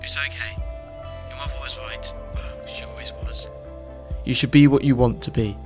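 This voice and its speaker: A practical, sarcastic father in a space audio drama, acted by a man. He has a mid-pitched, middle-aged voice and a pushy streak, with faint music behind him. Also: steady tone, moderate pace, darkish tone, natural voice. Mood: supportive.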